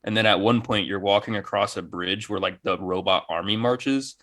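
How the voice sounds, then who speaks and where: A man's voice: on the low side, normal speed, a bit bright. A man chatting casually as a gaming podcast co-host, enthusiastic, with plenty of slang and swearing.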